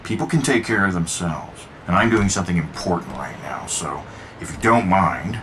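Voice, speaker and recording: An actor playing a cocky, wisecracking billionaire inventor in a video game cutscene, flippant and sardonic. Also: male voice, sits low, expressive, moderate pace, fairly bright tone, a little hiss or hum.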